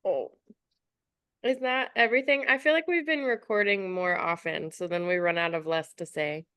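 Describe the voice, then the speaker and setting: A woman's voice: lowish voice, moderate pace, pushed and bright, clear, resonant tone, no room sound, clean studio sound. A woman chatting casually as a podcast co-host, chatty and giggly, with a slightly stuffy nose. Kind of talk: casual chat.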